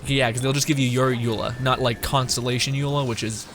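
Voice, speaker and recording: A young male streamer talks loosely through his play at a middling pitch. He rambles, cuts himself off and swears casually, with game music clearly heard behind him. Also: steady tone, fairly quick. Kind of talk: casual gameplay commentary.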